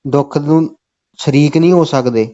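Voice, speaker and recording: A Punjabi-accented man with a fairly high voice is making a comic phone call. He is insistent and keeps returning to stock phrases. The recording is dull and lo-fi. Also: moderate pace, warm tone.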